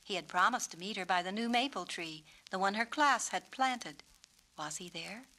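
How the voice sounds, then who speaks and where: A woman's voice: mid-range voice, normal speed, bright, forceful tone. A woman narrating a children's storybook expressively, as its author. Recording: noisy 1970s children's story record.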